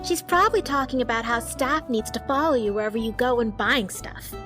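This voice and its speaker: Woman playing punky upbeat female bouncer, a bit high-pitched, casual, teasing, slangy, audio drama, clear music bed. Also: expressive, a little fast, close to an everyday voice. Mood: breezy. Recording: music behind the voice. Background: background music.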